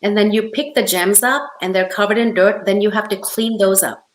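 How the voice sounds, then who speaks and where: A woman's voice: mid-range voice, fairly even intonation, moderate pace, slight background noise. A middle-aged woman from Hong Kong, an author talking as an interview guest in an emphatic, conversational storytelling way. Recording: roomy, echoey sound.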